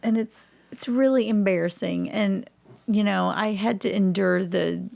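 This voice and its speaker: A woman's voice: mid-range voice, measured pace, vocal fry, darkish tone. A woman chatting casually as a guest in a podcast interview, candid and self-deprecating about herself. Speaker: podcast guest, community theatre actress.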